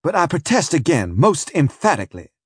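Hostile male prosecuting counsel, insistent and accusing, pitched high, husky, voiced by a male narrator. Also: big pitch swings, brisk, no room sound, clean studio sound. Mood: pressing.

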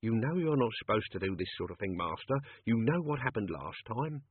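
A man's voice: fairly low pitch, animated, brisk, husky, dry recording. A man voicing a nervous, wheedling old male manservant who keeps calling his employer master. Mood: fretful. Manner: nervous, pleading, says Master often. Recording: tinny lo-fi audio.

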